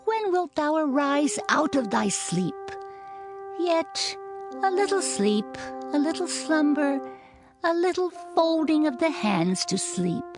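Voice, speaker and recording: A woman gives a theatrical, admonishing scripture reading at a very unhurried pace. Her intonation rises and falls widely, and music plays clearly behind her. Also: sits high, sing-song. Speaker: scripture reader. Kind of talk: dramatised scripture reading in archaic English.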